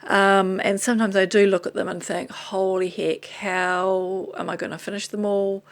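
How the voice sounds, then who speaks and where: Chatty New Zealand woman, slightly hoarse. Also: medium pitch, little pitch movement, moderate pace. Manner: rambling, chatty, self-deprecating, drifting off topic. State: tired and losing her voice. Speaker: vlogger. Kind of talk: casual chat showing items to camera.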